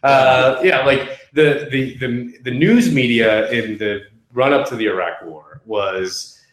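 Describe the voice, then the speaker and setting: A man in his thirties chatting casually and telling anecdotes as a podcast guest, in a halting, self-mocking way full of fillers. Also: medium pitch. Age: 30s. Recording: roomy echo.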